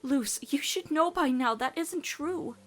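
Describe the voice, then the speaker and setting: Woman acting as a devoted teenage cartoon girl, fairly high voice, earnest, pleading, faltering, faint music behind. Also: measured pace. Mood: firm, pleading. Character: devoted, earnest teenage girl, fearful of losing loved ones. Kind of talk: emotional cartoon comic dub.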